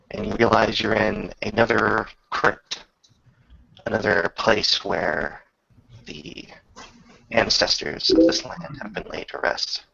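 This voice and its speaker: A man narrating a tabletop roleplaying game as game master, descriptive and measured, often pausing mid-sentence. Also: fairly low pitch, vocal fry, pushed and bright, slight background noise. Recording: dull lo-fi recording.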